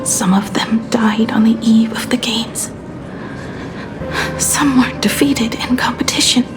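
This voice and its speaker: Slow, breathy, weary young engineer. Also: female voice, on the high side, steady tone, whispered, music in the background. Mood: resigned, sorrowful. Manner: slow, sighing, wistful. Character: frail, weary young woman engineer, quietly despairing. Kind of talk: dramatic game voice acting.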